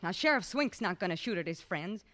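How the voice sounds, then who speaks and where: A woman's voice: on the low side, animated, a little fast, a bit bright. An actress playing a stubborn, grieving young townswoman set on vengeance in an old radio western, earnest and defiant. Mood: insistent.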